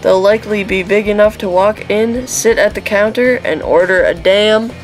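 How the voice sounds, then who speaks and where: Casting: woman as folksy waitress, mid pitch, wry and friendly, audio drama, soft music behind. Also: moderate pace, natural voice, low-quality recording. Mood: chatty. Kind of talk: audio drama acting, folksy small talk.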